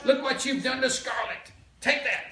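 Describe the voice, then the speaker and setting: Man putting on a high-pitched melodramatic fair lady. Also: normal speed, crowd noise. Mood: indignant. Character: fair young lady heroine, dramatic, indignant and lovelorn. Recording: echoey noisy home recording.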